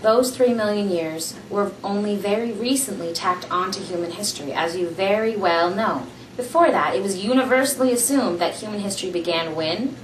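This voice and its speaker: A young woman reading a novel aloud in a small room, in a plain, steady reading voice, recorded on a camera from across the room. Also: medium pitch, moderate pace, hardly any pauses. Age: young adult. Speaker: amateur reader. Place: small room indoors, seated on a couch. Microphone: camera mic from across the room. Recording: roomy, distant camera audio with faint hiss.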